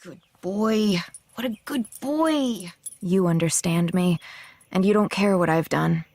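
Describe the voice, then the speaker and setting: A woman acting a headstrong, restless blind high school girl in an audio drama, talking casually and naturally. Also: slow, darkish tone, no echo. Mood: tender. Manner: casual, conversational.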